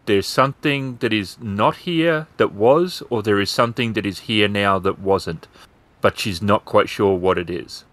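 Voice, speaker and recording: A man acting as game master, describing scenes in a measured, suspenseful way with repeated phrasing. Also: fairly low pitch, fairly bright tone, no room sound. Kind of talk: descriptive tabletop game narration.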